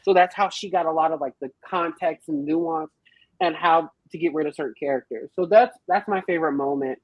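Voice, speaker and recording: A woman's voice: fairly low pitch, very animated, brisk, gravelly, breathy edge, dry recording, muffled recording. A woman chatting casually as a podcast panelist about a film, slangy, profane and given to theatrical retellings. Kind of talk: casual animated chat.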